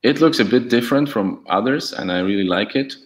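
A young man whose first language is Latvian, speaking English as a guest on a video call with a headset, candid and halting with many restarts. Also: mid-range voice, expressive. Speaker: guest, e-learning template developer. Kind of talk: casual video call chat.

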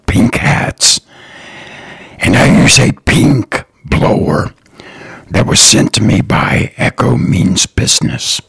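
Slow raspy whispery man. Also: lowish voice, a bit bright, no echo. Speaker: podcast host, lawn care business owner. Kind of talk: slow, earnest solo podcast talk.

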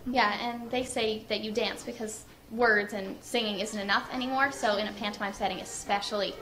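A woman's voice: mid-range voice, steady tone, fairly quick, fairly bright tone, slight background noise. A female stage cast member talking casually in a group interview. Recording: echoey room with crowd sound.

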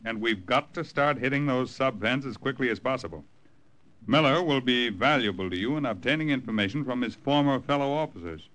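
A man acting a matter-of-fact colonel in an old radio war drama, measured and authoritative. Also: mid-range voice, expressive, fairly bright tone, lightly acted, low-quality recording. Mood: firm, measured. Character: firm, matter-of-fact male army colonel briefing his agents.

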